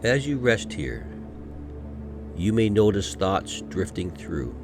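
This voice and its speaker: Deep, slow-speaking male meditation guide. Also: sing-song, over music. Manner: gentle, unhurried, soothing, with frequent pauses. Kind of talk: guided meditation, scripted narration.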